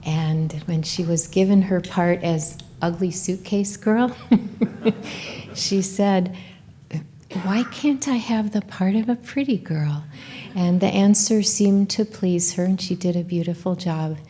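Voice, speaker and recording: A woman's voice: on the low side, takes it slowly, warm tone. A woman play director giving a curtain speech of thanks to an audience. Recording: live event with music and audience noise. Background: music and audience.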